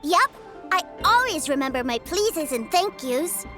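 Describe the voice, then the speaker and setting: A woman voicing an innocent, excitable little boy who adores his big brother, naive and earnest, in a video game. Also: high voice, measured pace. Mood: innocent, curious. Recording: music playing behind the voice.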